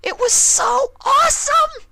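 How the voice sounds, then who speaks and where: A man voicing a brash, excitable young female pegasus pony in a gushing, exclaiming way for a story reading. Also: extremely high pitch, slow pace, creaky, voice pushed up, exaggerated voice. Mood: thrilled, exhilarated.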